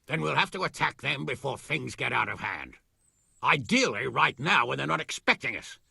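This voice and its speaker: Gravelly, lively-voiced rough captain, dry close sound, played by. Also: male voice, medium pitch, normal speed, dry recording, exaggerated voice. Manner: gruff, profane. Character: blunt, foul-mouthed male knight captain. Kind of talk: video game voice acting.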